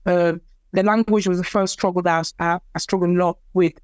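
Soft-spoken young woman, from Cameroon, French native. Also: fairly low pitch, fairly even intonation, fairly quick, smooth, dry recording. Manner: hesitant, repeats words, many fillers. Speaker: interviewee, athlete. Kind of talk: casual personal storytelling.

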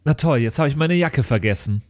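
A man's voice: medium pitch, lively intonation, fairly quick, noisy background. A man hosting a podcast in casual chat, with a playful, jokey manner. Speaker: podcast host. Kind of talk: casual chat, reading out supporter thank-yous.

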